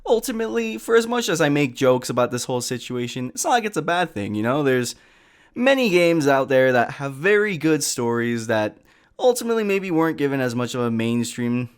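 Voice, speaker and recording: A man's voice: medium pitch, big pitch swings, brisk, clear, resonant tone. A man delivering comedic scripted commentary with casual asides, in a sarcastic, exasperated and sometimes profane way. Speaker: video essayist.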